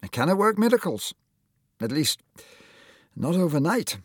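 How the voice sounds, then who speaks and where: Man voicing a kindly older Scottish surgeon, higher-pitched. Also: big pitch swings, unhurried, breathy edge, no echo, clean studio sound, full character voice. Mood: encouraging, firm. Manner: avuncular, encouraging.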